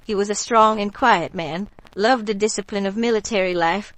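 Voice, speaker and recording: A woman playing a warm local bayou woman in a radio drama, telling stories in an unhurried, folksy, gently mystical way. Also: medium pitch, no room sound, lightly acted. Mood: fond, reminiscing.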